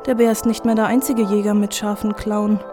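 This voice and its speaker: An actress plays an older woman who runs the family factory in an audio drama. She speaks at a middle pitch, deliberate and portentous, with a veiled threat in her stories. Music swells strongly behind her. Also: level tone, lightly acted. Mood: solemn, ominous. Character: 64-year-old factory matriarch, solemn, cryptic, menacing. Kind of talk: German audio drama acting.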